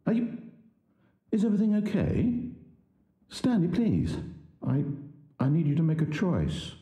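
A fussy, self-important British storyteller narrating a video game. His pitch swings dramatically through theatrical, articulate phrases, and the voice has a husky edge. He is wry and needy in equal measure. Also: very animated, measured pace, dark tone, exaggerated voice. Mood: anxious pleading.

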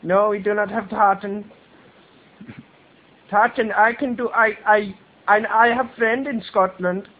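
A man's voice: very high voice, fairly even intonation, pitched above the performer's own voice. A man putting on a brash foreign carpet seller in broken English, making a prank phone call. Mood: pushy. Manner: insistent, rude, fast-talking hard sell. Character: pushy foreign male street trader, brash. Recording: tinny phone line.

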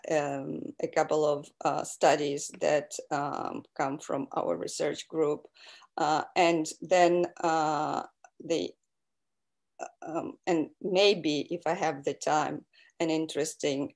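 Slow, halting woman giving a lecture. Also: sits low, darkish tone. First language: Russian. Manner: hesitant, many fillers. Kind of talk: academic lecture over video call. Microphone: video call.

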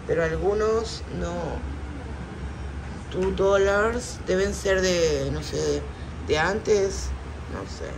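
A middle-aged woman chatting casually for her vlog in a small restaurant, guessing aloud and laughing often, filmed on a phone at arm's length. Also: on the low side. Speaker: vlogger. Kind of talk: casual food vlog chat. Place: small busy restaurant indoors. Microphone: phone held at arm's length. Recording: noisy restaurant background.

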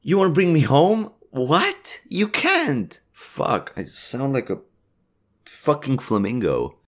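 A man's voice: mid-range voice, huge pitch swings, slow pace. A young adult man, a voice actor, swearing at himself in frustration over flubbed takes. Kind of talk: blooper outtakes.

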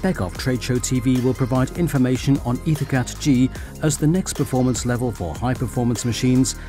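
Male trade show TV narrator, warm low-key tone, soft music bed under the read. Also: brisk. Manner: even, polished promotional delivery. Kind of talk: scripted narration. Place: studio voice-over.

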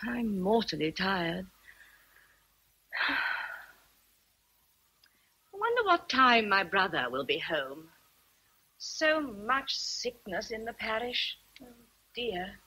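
An actress playing a bookish, gentle young English schoolteacher in a radio drama. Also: female voice, a little high, heavy background noise. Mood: weary.

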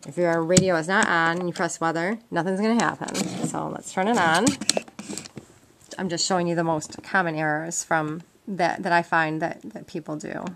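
A lower-pitched woman talks through a hands-on tutorial in a practical, conversational way. She explains each step informally. The recording is very noisy, like amateur handheld camera sound made indoors. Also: steady tone, normal speed. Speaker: instructor demonstrating a gadget. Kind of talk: informal step-by-step how-to demonstration. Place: indoors at a wooden table. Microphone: handheld camera mic, off screen. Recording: noisy amateur camcorder sound with clicks and beeps.

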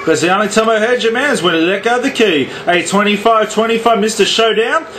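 A man's voice: high-pitched, fast talker, a bit bright. An Australian man chatting on CB radio in slang, with repetitive, showy catchphrases and sign-offs. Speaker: CB radio operator. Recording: static-laced radio ambience, background noise.

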